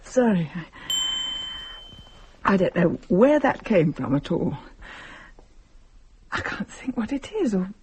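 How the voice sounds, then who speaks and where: An actress playing an uneasy, apologetic wife and hostess in a radio drama, with a hesitant, halting manner. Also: female voice, fairly low pitch, big pitch swings, a little mellow, a little hiss or hum. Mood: puzzled.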